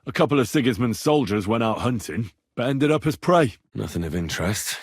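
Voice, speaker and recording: A man voicing an earnest, bold young man in a medieval video game, with a slightly husky voice and a plain-spoken, conversational delivery. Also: mid-range voice, lively intonation, brisk, no echo, studio quality. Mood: musing. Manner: conversational, earnest, assertive when challenged. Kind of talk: game dialogue voice lines.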